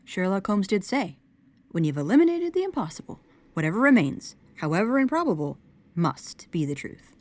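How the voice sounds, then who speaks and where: A woman playing a logical, formal investigator in an audio drama, talking in deliberate technical language. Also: mid-range voice, big pitch swings, a little mellow. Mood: reasoning. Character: analytical, formal female investigator, measured and logical.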